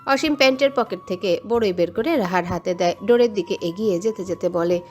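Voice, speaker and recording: A woman's voice: mid-range voice, brisk. Woman narrating a romantic story over music. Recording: music under the voice. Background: background music.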